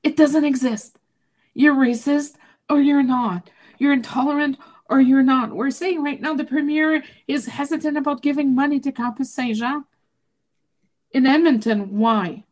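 A woman from Quebec whose first language is French, co-hosting a political talk podcast and speaking emphatically, her voice rising into high, outraged questions. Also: steady tone, moderate pace, darkish tone. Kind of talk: impassioned political chat.

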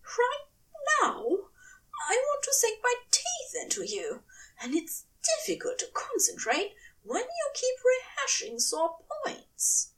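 A woman voicing an exasperated, commanding male angel in an audio story, agitated and breathy in delivery. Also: very high-pitched, huge pitch swings, slow, fairly bright tone, far from the mic, voice pushed up, full character voice, poor audio. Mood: fierce, impatient. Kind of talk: dramatic audio fiction reading.

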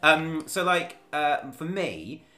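A man in casual podcast banter keeps the jokes and sarcasm going. His pitch jumps around a lot, and his voice is bright and forward. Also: very animated, takes it slowly, faint music behind. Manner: joking, sarcastic teasing. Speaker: podcast co-host. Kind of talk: casual chat.

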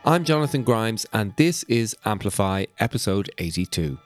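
Lower-pitched male podcast host. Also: expressive, normal speed, over loud music. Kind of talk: scripted podcast introduction and interview questions.

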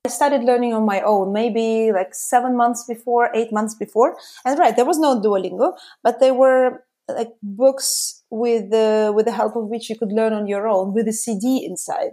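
A woman with a Russian accent talks as a podcast guest, her voice sitting a bit high. She tells stories in a lively, casual way, dotted with fillers. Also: normal speed, hardly any pauses. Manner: lively storytelling with frequent fillers. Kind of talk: casual interview conversation.

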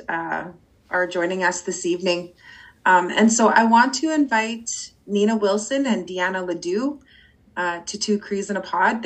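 A woman with a husky voice hosting a podcast. She welcomes her guests in an upbeat, playful way, full of ums and uhs. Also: mid-range voice. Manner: upbeat, playful, filler-heavy. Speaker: podcast host. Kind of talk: casual podcast intro.

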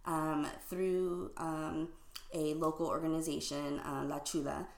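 A woman hosting a podcast, chatty and quick to laugh, interviewing a guest in the guest's home. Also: deep voice, flat delivery, vocal fry. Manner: warm-hearted, chatty, laughs often. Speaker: podcast host. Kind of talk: casual interview conversation. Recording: echoey home room.

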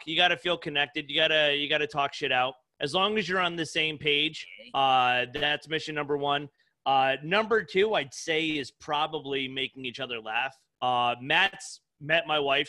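A man's voice: on the high side, moderate pace, bright, forceful tone. A man in his thirties, a stand-up comedian chatting casually on a podcast with profane, informal speech full of "dude" and "like". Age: 30s.

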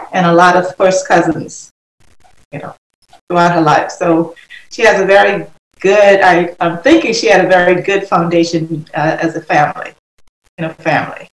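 A woman's voice: fairly low pitch, normal speed. A middle-aged woman from Trinidad, speaking as a guest in a conversational, reminiscing way. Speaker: podcast guest. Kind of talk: conversational personal recollection. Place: remote, on a video call. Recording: echoey video call audio.

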